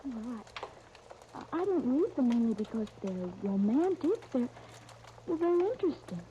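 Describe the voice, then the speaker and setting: A woman's voice: a little high, sing-song, moderate pace, warm tone. An actress playing a young, romantic girl in a 1930s radio soap opera, polite, earnest and hesitant. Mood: earnest, meek. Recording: dull, noisy 1939 radio disc recording.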